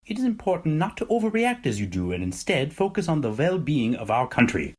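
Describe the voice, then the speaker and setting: An actor playing an evasive, self-important male president in an audio drama, with a pompous, dismissive way of speaking and a husky voice. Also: fairly high pitch, big pitch swings, fairly quick, darkish tone. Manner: pompous, dismissive politician's oratory. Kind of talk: audio drama acting, political interview.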